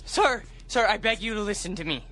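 An actor playing an earnest young alien man in a radio drama, pleading urgently and haltingly. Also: sits high, expressive, sharp tone.

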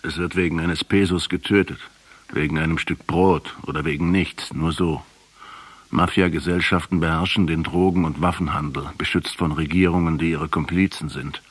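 An actor playing a sombre, reproachful intellectual in a German radio drama, measured and rhetorical, with a very raspy voice. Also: male voice, a bass voice, fairly even intonation, dry recording. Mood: bitter, sombre. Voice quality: very husky, raspy. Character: sombre, reproachful male intellectual interrogating a revolutionary.

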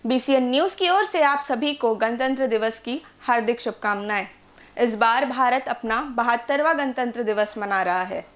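A woman's voice: a little high, normal speed. A woman, presenting scripted news as a studio anchor. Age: 20s.

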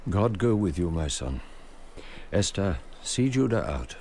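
Creaky, deep-voiced old father. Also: very animated, measured pace, darkish tone, a little hiss or hum, full character voice. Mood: concerned, tender. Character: kindly, solemn elderly father and wise counsellor. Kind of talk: radio drama acting.

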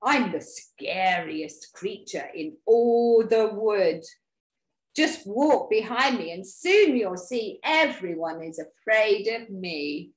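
A woman voicing a small, plucky, boastful mouse in an animated children's storybook reading. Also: medium pitch, slow pace. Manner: exclamatory, animated. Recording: roomy echo.

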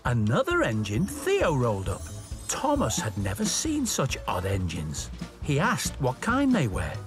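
A man narrating a children's story in an expressive, dramatic way. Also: medium pitch, unhurried. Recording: music under the narration. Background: music.

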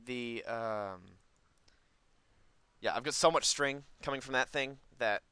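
A man's voice: medium pitch, slow, pushed and bright, smooth, no room sound. A man giving casual let's play commentary, rambling and thinking aloud as host of a gaming video.

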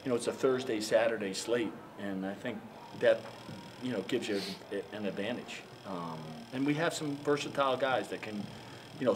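An older man, a basketball head coach at a postgame press conference, answering reporters haltingly with many fillers and repeated words. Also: lowish voice. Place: press room in front of a sponsor backdrop. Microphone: handheld mics held up by reporters. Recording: noisy press room.

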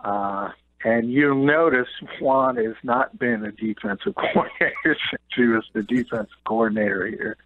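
A man calls in over a thin, tinny phone line, his voice dry and close. He breaks down football news in a quick, chatty way with short laughs. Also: medium pitch, gravelly, no echo. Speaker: NFL columnist guest. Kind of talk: sports radio analysis by phone.

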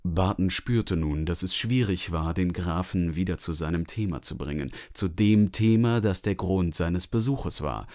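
A man's voice: sits low, a little slow, few pauses, darkish tone. A man giving scripted literary narration as the narrator of a story.

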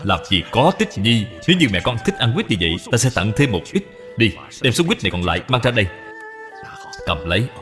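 A man's voice: fairly low pitch, sing-song, brisk, a bit bright. A man voicing a kindly, courteous middle-aged general in a cartoon, speaking earnestly. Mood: generous. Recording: long reverb, background music.

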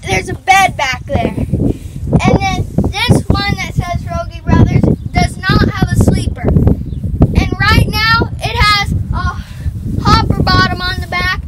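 High-voiced teenage girl giving a farm tour. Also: very noisy. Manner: chatty, plain, many "so" fillers. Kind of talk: casual walking tour. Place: outdoors on a farm.

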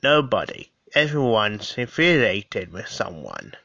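Man as a probing, wry guest; slow and bright, lo-fi dry audio. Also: on the low side, huge pitch swings, creaky, no echo, exaggerated voice.

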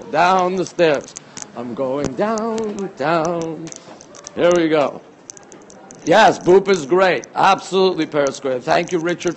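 Chatty excitable guy, middle aged, pretty high voice, livestreaming on a walk, some street noise behind him. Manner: chatty, excitable, repeats himself, reacting to viewers. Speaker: live-stream host. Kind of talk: casual walking live-stream chat. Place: outdoors on busy city streets, walking. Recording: outdoor street and traffic noise.

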